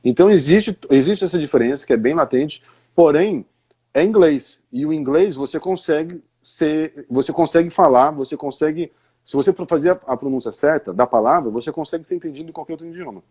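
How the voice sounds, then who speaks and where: A man's voice: on the high side, a little fast, a little mellow, breathy edge. A Brazilian man, an English teacher, explaining pronunciation in a live stream conversation, often asking rhetorical questions. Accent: Brazilian Portuguese.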